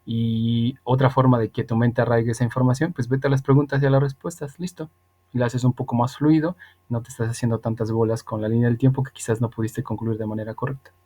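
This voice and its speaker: A man's voice: mid-range voice, level tone, fast, dark tone. A man, an online English teacher explaining things patiently and emphatically, with plenty of repetition. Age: 30s. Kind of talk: explanatory tutoring, casual spoken instruction.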